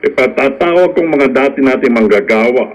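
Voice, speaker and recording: A man's voice: medium pitch, animated, fairly quick, close to an everyday voice, low-quality recording. A man acting in a Filipino radio drama as a middle-aged, commanding landowner with an authoritative manner. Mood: brisk, pleased.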